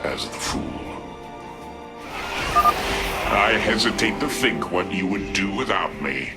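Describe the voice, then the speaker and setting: A deep, gravelly alien warlord sneers with theatrical contempt. His haughty, menacing delivery swoops widely, as in a video game, over loud music. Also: sing-song, slow. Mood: sardonic, impatient.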